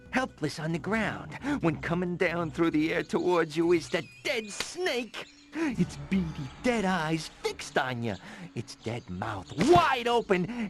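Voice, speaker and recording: An actor playing a boastful talking jaguar in a video game, with a grandiose, storytelling manner. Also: male voice, high-pitched, exaggerated voice. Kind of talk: animated video game voice acting.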